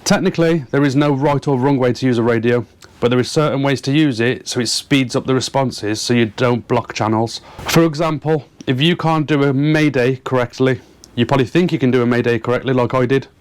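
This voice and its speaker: A man's voice: mid-range voice, steady tone, fairly quick. A British man giving an informal explainer to camera as a channel host, plain-spoken and repeating points for emphasis. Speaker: boating and fishing channel host.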